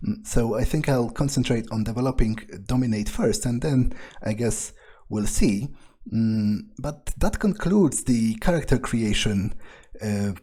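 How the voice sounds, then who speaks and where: Slow-paced man commenting on a game. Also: medium pitch, dark tone. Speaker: let's player. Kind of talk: in-character game playthrough commentary.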